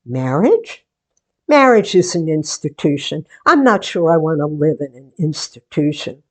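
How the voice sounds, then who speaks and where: A woman playing a sentimental, playful daughter and hostess in a 1940s-style radio theatre monologue, with deliberate, theatrical delivery. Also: low-pitched, very animated, full character voice. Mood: affectionate, playful. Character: sentimental daughter, book-show hostess, playfully resisting marriage.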